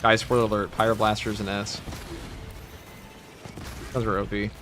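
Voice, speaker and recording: A man's voice: on the low side, flat delivery, normal speed, over music. A man hosting a gaming livestream, reading viewer messages aloud and riffing on them casually. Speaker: streamer host. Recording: background noise under voice.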